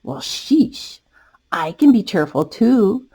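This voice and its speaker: A woman's voice: medium pitch, big pitch swings, slow, mellow, husky, exaggerated voice. A woman voicing a vain, jealous male giraffe in a storybook, huffy, dramatic and self-important. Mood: indignant.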